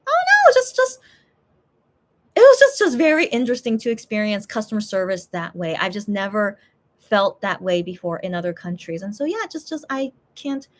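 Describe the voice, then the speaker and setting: A woman's voice: medium pitch, big pitch swings, normal speed. A woman chatting casually with her viewers, rambling through her thoughts with many fillers and restarts. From USA. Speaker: YouTuber, channel host.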